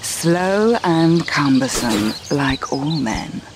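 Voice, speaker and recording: Game warrior woman: proud, haughty, deliberate pace, menacing, over clearly audible background effects. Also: deep voice, animated, background noise, full character voice. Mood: scornful, menacing. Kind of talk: video game voice acting.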